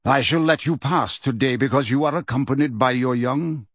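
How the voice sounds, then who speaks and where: A man voicing a proud, calm male centaur leader in an audiobook, speaking in a stern, smooth and formal way. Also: medium pitch, dry recording, natural voice. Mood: calm, solemn. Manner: measured, smooth, formal, solemn.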